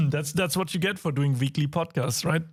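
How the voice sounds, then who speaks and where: A young German man with a raspy, hoarse voice, co-hosting a casual games podcast, laughing often and getting excited. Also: a little high, normal speed. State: hoarse from a cold. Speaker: podcast co-host. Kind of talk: casual chat.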